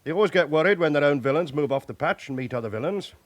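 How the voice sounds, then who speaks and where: An actor playing a no-nonsense chief inspector in a naturalistic radio drama, speaking with dry, matter-of-fact authority. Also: male voice, fairly high pitch, animated, fast talker, no echo, natural voice. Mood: businesslike. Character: pressured, no-nonsense male police chief inspector.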